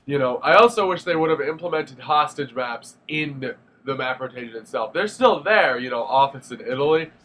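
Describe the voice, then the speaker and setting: A man's voice: medium pitch, sharp tone, slight background noise, low-quality recording. A young man with a stuffy nose from a cold, giving a casual, rambling spoken review of a game with lots of fillers and restarts. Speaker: gaming video reviewer.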